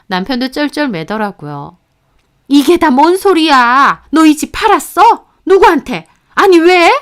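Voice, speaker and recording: A woman voicing a shrill, scolding older mother-in-law in a narrated story. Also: smooth, natural voice. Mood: outraged. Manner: sharp, shrill, accusing.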